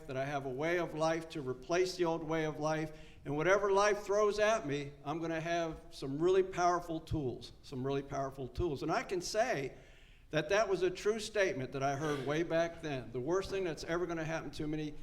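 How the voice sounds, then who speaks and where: A man in his seventies speaks at a recovery meeting with a voice pitched a little higher than most men's. He is folksy and earnest, and he repeats his key lines so they land. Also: a little fast. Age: 70s. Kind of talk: personal story told to a live meeting.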